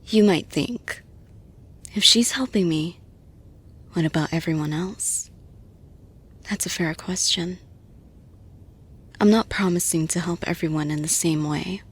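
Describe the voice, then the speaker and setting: A caring female boss played by a woman in an intimate audio roleplay, persuading gently. Her voice is soft, rather low and very breathy, often slipping into a whisper. She speaks very slowly and deliberately, with steady reassurance. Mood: soothing, reassuring.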